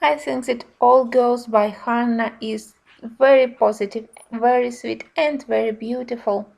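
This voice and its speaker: A woman giving a casual review narration in simple, slightly halting non-native phrasing. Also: fairly high pitch, fairly even intonation, creaky, a little mellow, low-quality recording. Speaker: colouring book reviewer.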